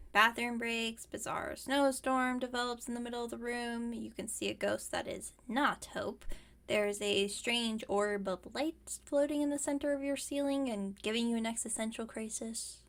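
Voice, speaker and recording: Woman as a high-voiced, wry, caring werewolf roommate. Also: fairly even intonation, a little slow. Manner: casual, teasing, rambling jokes, gently bossy. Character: caring, wry young female werewolf roommate, pack beta. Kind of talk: ASMR roleplay, intimate comforting monologue.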